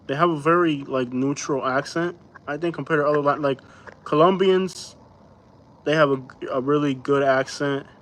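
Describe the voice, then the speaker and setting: Livestream host from Florida, male, slightly high voice, slangy and rambling, works through chat comments. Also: normal speed, a bit bright. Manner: rambling, reads viewer comments aloud and answers them; loose, slangy and easygoing. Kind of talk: casual late-night live chat.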